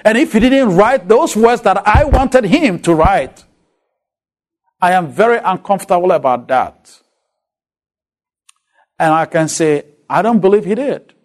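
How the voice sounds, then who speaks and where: A man with a Ghanaian accent delivers a deliberate lecture, pausing to put questions to his listeners. His voice sits fairly high for a man and swings widely in pitch. He speaks slowly, weighing each phrase. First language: a Ghanaian language. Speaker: Bible lecturer. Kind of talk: teaching lecture.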